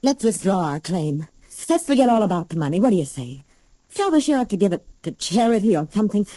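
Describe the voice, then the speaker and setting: Mellow, anxious American wife. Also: female voice, mid-range voice, very animated, fast, no echo. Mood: worried, pleading. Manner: earnest, urgent. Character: worried, pleading, practical wife and mother. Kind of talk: 1940s radio drama acting.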